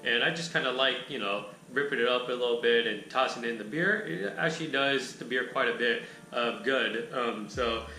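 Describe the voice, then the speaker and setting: A man reviewing a beer in a relaxed, rambling way with a raspy voice. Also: mid-range voice, normal speed, roomy sound, a little hiss or hum, poor audio. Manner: laid-back, rambling, lots of filler words. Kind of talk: casual chat.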